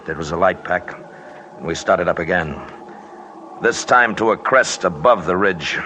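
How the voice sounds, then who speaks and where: Actor as a grave, low-voiced mountaineer. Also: male voice, close to an everyday voice. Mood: somber, brooding. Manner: grave, deliberate, clipped phrases. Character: stubborn, haunted mountaineer narrating his expedition, tough man. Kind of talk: 1940s-50s radio drama narration. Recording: noisy old radio recording.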